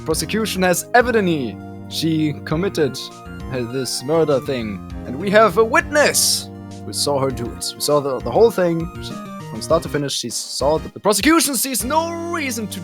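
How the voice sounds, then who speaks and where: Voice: medium pitch, sing-song, moderate pace, over music, lightly acted. An amateur actor voicing a self-important but easily flustered male prosecutor, stumbling over words and names in a comedic game dub. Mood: bumbling, formal.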